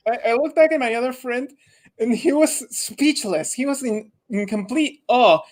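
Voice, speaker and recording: A man telling a casual story on a podcast, halting and pausing for dramatic effect. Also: extremely high pitch. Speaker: podcast co-host.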